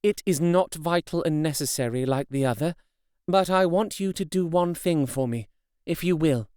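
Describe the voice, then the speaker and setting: A man's voice: a little high, moderate pace, darkish tone, clean tone, no room sound, clean studio sound, voice pushed up, lightly acted. A man voicing a devoted young wife in an audiobook, speaking with earnest, tender pleading. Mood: solemn pleading. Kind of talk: audiobook character reading.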